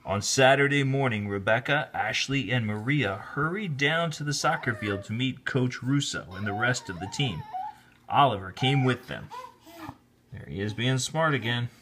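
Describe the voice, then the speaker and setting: A man reading a children's story aloud in an expressive, deliberate storytelling manner, with casual asides. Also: medium pitch. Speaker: storybook reader, teacher. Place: indoors, filming the book held in hand.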